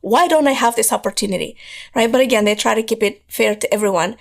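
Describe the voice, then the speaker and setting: A woman, telling her life story as a podcast guest, hoarse from losing her voice and talking in an earnest, driven way. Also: fairly high pitch, quick pace. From Moldova. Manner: earnest, driven storytelling, repeats words for emphasis.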